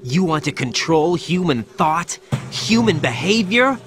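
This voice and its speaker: An actor playing a young soldier in a video game, protesting in a defiant, tense way. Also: male voice, on the high side, very animated, measured pace. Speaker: game character. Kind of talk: video game cutscene voice acting. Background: dramatic music score.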